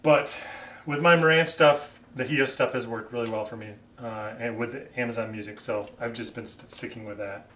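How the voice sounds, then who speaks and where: A man talks casually and off the cuff like a hobbyist vlogger reviewing equipment. His voice sits at a middling pitch, and he feels his way through sentences as he thinks aloud. The sound is distinctly roomy, with the microphone some distance from him. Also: fairly even intonation, normal speed, fairly bright tone, slight background noise. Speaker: vlogger reviewing vintage hi-fi gear. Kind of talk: casual unscripted product talk.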